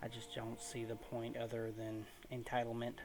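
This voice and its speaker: A man hosts a casual let's play from a room at home. He speaks in a low-key, restrained way with little pitch movement, at a middling pitch, over faint background noise. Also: monotone, moderate pace, a little mellow. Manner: low-key, keeping his volume down. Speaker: gaming video host.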